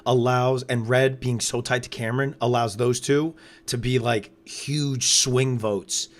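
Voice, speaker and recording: Hoarse male co-host, raspy and loud, close on the mic, relaxed live-stream chatter. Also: medium pitch, unhurried. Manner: relaxed, reacts to live chat. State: hoarse after losing his voice. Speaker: podcast co-host. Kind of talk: casual live watch-along commentary. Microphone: close podcast microphone.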